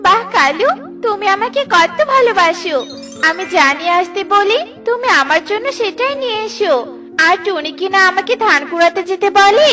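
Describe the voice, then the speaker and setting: A woman's voice: extremely high pitch, expressive, normal speed, music in the background. A woman voicing a haughty, greedy crow wife who orders others around in a children's cartoon. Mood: demanding, smug.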